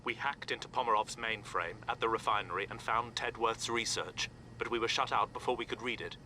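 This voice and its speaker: An actor playing an efficient intelligence technician in a video game, briefing an agent in a precise, businesslike way. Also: male voice, medium pitch, a little fast, sharp tone. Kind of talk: cinematic video game acting. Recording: clear vehicle and ambient noise.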